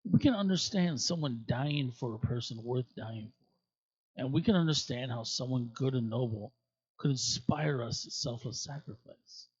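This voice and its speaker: A man reading scripture aloud expressively, with emphatic, deliberate phrasing and dramatic pauses. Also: medium pitch, husky, dry recording, highs cut off. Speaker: scripture reader at a church.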